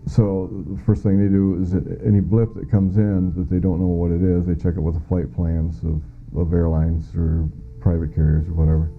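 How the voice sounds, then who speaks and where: A man's voice: low voice, little pitch movement, moderate pace, gravelly, few pauses, faint music behind. A middle-aged American man giving first-hand testimony in an interview, plain-spoken and matter-of-fact. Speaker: former air traffic controller giving witness testimony. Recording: muffled lo-fi audio.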